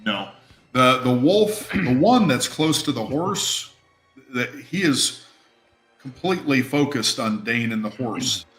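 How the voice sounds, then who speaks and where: Guy dming a tabletop game, slow and halting, swears a bit, roomy echo. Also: medium pitch, lively intonation, fairly bright tone. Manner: halting, thinking aloud, casually profane. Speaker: game master. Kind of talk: improvised narration of a tabletop game. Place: indoor room on a live stream. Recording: roomy echo with music underneath.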